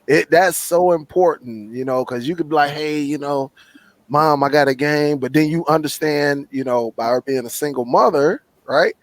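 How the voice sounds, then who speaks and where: A man with a fairly high voice, recorded with a dry, close sound. He is a guest in a casual live chat and tells a heartfelt personal story earnestly. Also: normal speed, no echo.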